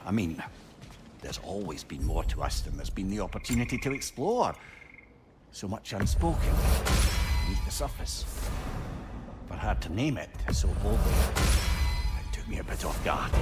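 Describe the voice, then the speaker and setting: An actor playing an affable, articulate, sentimental companion in a video game, with an eloquent, reflective manner. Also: male voice, lowish voice. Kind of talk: dramatic video game acting. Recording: loud music behind the voice.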